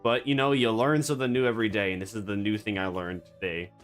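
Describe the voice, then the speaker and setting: A man streaming a game, rambling and joking in absurd deadpan banter. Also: fairly low pitch, fast, soft background music. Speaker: VTuber streamer. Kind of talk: casual gaming stream chatter.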